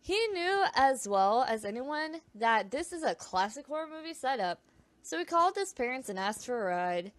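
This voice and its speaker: A woman's voice: high-pitched, big pitch swings, moderate pace, a bit bright, no echo. A woman reading scripted creepy true stories in an informal way, stumbling over words now and then with self-conscious asides. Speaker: video narrator.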